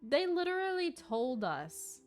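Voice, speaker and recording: A woman's voice: sits high, big pitch swings, unhurried, soft background music. A woman giving casual, opinionated live commentary as a game streamer, talking in slang.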